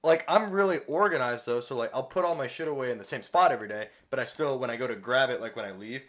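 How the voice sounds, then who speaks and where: A man in a casual podcast chat, talking in an excitable, rambling way with some swearing. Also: medium pitch, fast, slight background noise. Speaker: podcast co-host.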